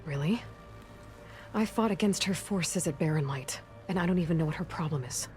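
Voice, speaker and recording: A woman's voice: low-pitched, fairly quick, darkish tone, lightly acted. An actress playing a blunt, skeptical young warrior woman in a video game, with a direct, questioning, understated delivery. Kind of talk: naturalistic video game dialogue. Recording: music under the dialogue.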